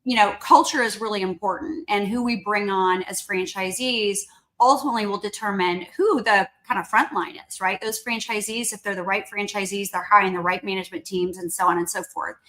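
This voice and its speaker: A woman guest speaking as a company chief in a conversational interview, earnest and explanatory, often checking in with a quick tag question. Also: mid-range voice, a bit bright. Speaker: company CEO, podcast guest.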